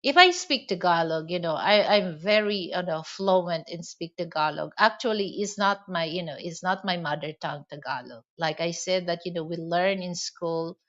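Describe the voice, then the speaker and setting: A woman's voice: lowish voice, moderate pace, hardly any pauses, fairly bright tone, dull audio. A woman from the Philippines with a Filipino accent, a native Hiligaynon speaker, talking casually in a rambling vlog monologue full of "you know" fillers. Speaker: vlogger.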